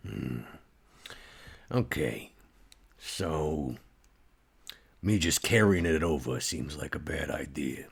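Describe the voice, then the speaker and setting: A gruff vampire strongman, played by a man for a tabletop role-play podcast. The voice is extremely low and full of gravel, and the words come slowly and plainly, like practical streetwise muscle. Music plays behind it. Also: exaggerated voice. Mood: gruff, pondering. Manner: terse, slow, streetwise.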